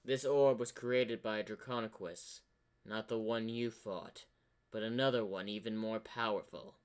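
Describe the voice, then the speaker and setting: Man doing a slow, sinister dark spirit. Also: lowish voice, fairly even intonation, smooth, natural voice. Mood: commanding. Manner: commanding, curt, scheming. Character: sinister, domineering dark spirit bargaining for freedom.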